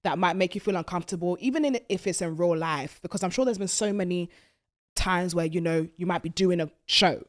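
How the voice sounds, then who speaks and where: A woman's voice: lowish voice, brisk. A woman from the UK hosting a casual interview, candid and teasing. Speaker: podcast host.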